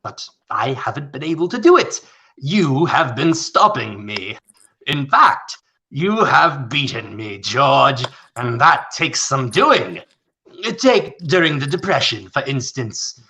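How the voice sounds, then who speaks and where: A man acting as a rich, condescending, scheming old tycoon in a radio play, with a gravelly, theatrical delivery. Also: medium pitch, sing-song, takes it slowly, sharp tone, heavily acted. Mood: smug, persuasive.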